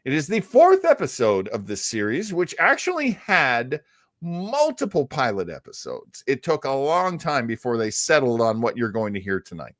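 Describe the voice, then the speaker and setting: A man's voice: mid-range voice, huge pitch swings, normal speed, no echo, clean studio sound. A man hosting a live stream, chatting casually and informally as he introduces things. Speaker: livestream host.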